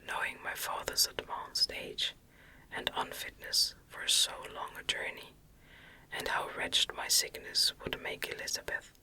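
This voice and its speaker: A woman gives a whispered ASMR reading of a classic gothic story. Her delivery is soft, calming and very unhurried, with a crisp, bright edge to the breathy whisper. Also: poor audio. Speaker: audiobook reader.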